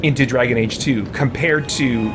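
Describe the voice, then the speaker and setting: A man's voice: mid-range voice, normal speed. A man giving casual, rambling gameplay commentary, thinking aloud as he streams a role-playing game. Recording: game music behind the voice. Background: video game music.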